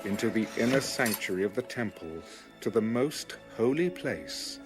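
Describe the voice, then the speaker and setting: A man reading scripture as a scripted audio Bible narration, in a measured, formal way. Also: fairly low pitch, animated. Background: music under the reading.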